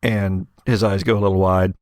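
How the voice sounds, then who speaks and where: A man improvising narration as game master of an actual-play audio drama, halting and correcting himself as he goes. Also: low voice, flat delivery, a little mellow, no room sound, studio quality.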